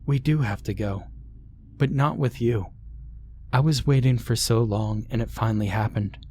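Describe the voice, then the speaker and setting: A man's voice: medium pitch, normal speed, warm tone, a little hiss or hum, natural voice. A man voicing a possessed daughter who mutters cold accusations in a near whisper. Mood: cold accusing.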